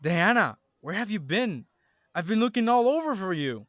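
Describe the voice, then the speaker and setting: A man as an anxious, animated teenage schoolboy. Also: high voice, normal speed, no echo, clean studio sound. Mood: alarmed. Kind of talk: amateur audio drama acting.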